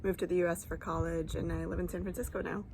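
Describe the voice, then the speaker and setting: A woman's voice: on the low side, level tone, fast, creaky, darkish tone, slight background noise. A woman in her late twenties who grew up in Dubai, chatting as an interview guest, with many filler "likes" and ums and easy laughter. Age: 20s. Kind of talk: casual conversation. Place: lives in San Francisco.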